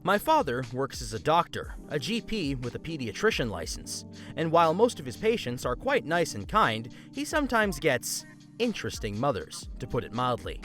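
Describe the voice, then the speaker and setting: A man hosting a story channel, reading online stories aloud and adding his own comments in an animated way. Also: normal speed. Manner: animated, swings pitch for emphasis. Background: faint background music.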